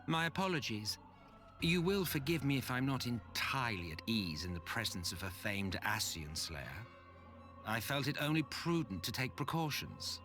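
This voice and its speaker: Man playing a theatrical, sly immortal villain, swooping pitch. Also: lively intonation, moderate pace, a bit bright, close to an everyday voice. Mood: mock courteous. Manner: pompous, sardonic, drawling delivery. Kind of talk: dramatic video game voice acting. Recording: long hall reverb, music behind.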